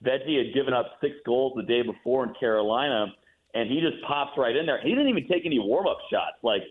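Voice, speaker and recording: An American man from the Midwest, a play-by-play announcer giving a casual sports interview with animated, joking phrasing. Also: mid-range voice, brisk. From Midwestern United States. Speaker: radio play-by-play announcer, guest. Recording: thin phone-like line.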